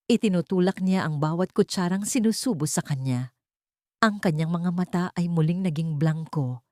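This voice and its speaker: A woman's voice: sits low, warm tone, no room sound, studio quality. A woman with a Filipino accent reading a scripted dramatic story as an audiobook narrator.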